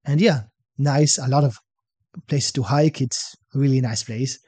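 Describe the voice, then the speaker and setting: A young man from Israel with an Israeli accent, chatting as a podcast guest and telling stories in a relaxed way, often ending points with "right?". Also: medium pitch, unhurried, husky, dry recording. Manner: relaxed storytelling, tags statements with "right?". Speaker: podcast guest, fitness coach. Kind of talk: casual interview chat.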